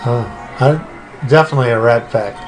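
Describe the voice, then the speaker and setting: A man's voice: mid-range voice, moderate pace, faint music behind, low-quality recording. An older man giving short, casual answers as a podcast guest. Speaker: podcast guest, the hosts' father.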